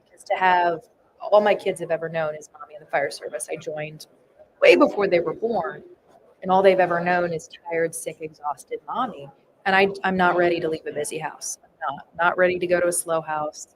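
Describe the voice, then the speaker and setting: A woman's voice: on the low side, steady tone, a little fast. A woman in her thirties, a firefighter, telling a candid personal story in a casual podcast chat. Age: 30s. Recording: noisy live event floor.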